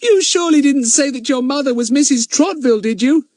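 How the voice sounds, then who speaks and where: A loud, excitable schoolboy in a storybook, voiced high and pushed by a man reading aloud. He blurts everything with eager force. The recording is dry and close. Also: fairly quick, no room sound. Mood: excited.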